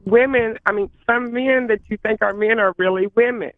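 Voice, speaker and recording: A woman on a call, talking emphatically in casual chat and often repeating herself, with a lower-register voice. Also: normal speed, no echo. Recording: tinny phone line, dry.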